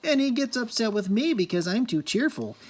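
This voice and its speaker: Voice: medium pitch, very animated, fairly quick, pitched above the performer's own voice. The storybook reader voicing a cheerful little male dwarf who chuckles as he talks. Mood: merry. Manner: chuckling and jolly.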